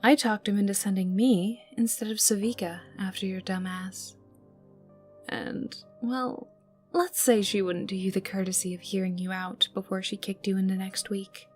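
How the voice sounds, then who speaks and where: A woman playing a menacing, teasing young enforcer for a crime boss in an ASMR roleplay, taunting the listener in a breathy voice that dips toward whispers. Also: mid-range voice, steady tone, moderate pace, close to an everyday voice. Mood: smug scolding. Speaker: voice actor in audio roleplay. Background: ambient music and mild sound effects.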